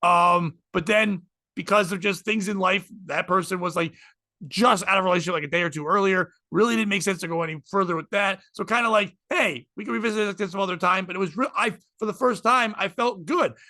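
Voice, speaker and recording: A man's voice: high-pitched, a little fast, sharp tone, no room sound, studio quality. A man in his forties, a podcast host, chatting casually and candidly, rambling and swearing freely. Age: 40s. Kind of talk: casual chat.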